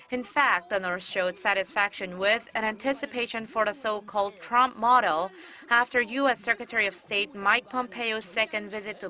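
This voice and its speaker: Female TV reporter for a voice-over package, measured, formal, sits a bit high. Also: sharp tone. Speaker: news reporter. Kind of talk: scripted news voice-over.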